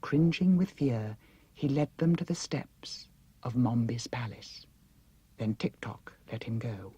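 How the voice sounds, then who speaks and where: Low, expressive woman storyteller. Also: slow pace, slightly rough. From United Kingdom. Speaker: audiobook narrator. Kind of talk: scripted children's story narration, dramatic.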